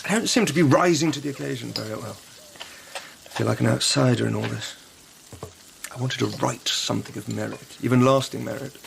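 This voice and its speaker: A downcast, self-absorbed writer in a period radio drama. His voice is extremely creaky and gravelly at a middling pitch, and he muses and falters over a noisy background. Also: a little fast. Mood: dejected, wry. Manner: hesitant, musing, faltering. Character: self-absorbed, dispirited educated writer adrift in disaster.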